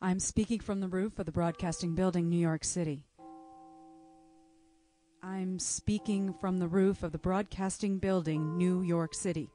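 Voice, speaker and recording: A woman's voice: fairly low pitch, dark tone, lightly acted. A woman playing a grave radio announcer reporting an emergency evacuation in an amateur radio play.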